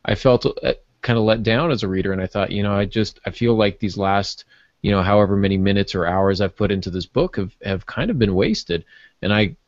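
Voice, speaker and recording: Muffled low-voiced man, rambling casually. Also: fairly quick, no echo. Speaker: podcast panelist. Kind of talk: casual panel chat. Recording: muffled, dull lo-fi audio.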